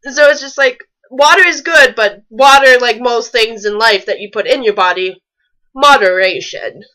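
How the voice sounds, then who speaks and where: A woman's voice: fairly high pitch, normal speed, bright, forceful tone, rough recording. A woman reading a story aloud and commenting on it with wry, nitpicking asides. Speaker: fan fiction reader and commentator.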